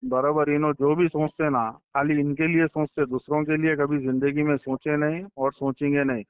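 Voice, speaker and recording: A man's voice: a little high, little pitch movement, moderate pace, clear, resonant tone, no room sound. A man with a Hyderabadi accent talking informally, pressing his points with repetition and pointed questions. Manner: insistent, repeats himself and presses points with questions. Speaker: political insider giving his account to a journalist. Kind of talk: informal phone conversation. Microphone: phone line. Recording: tinny recorded phone call.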